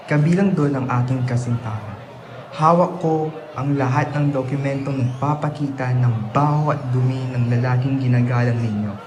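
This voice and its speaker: A man's voice: medium pitch, background noise, lightly acted. A man acting a defiant, grieving son exposing his corrupt father in a solemn, declamatory Filipino radio drama style. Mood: accusing.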